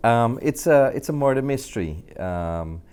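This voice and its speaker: A man's voice: mid-range voice, animated, moderate pace, a little mellow, clear, resonant tone. A middle-aged man with a Guyanese accent, answering conversationally as an actor and film director being interviewed.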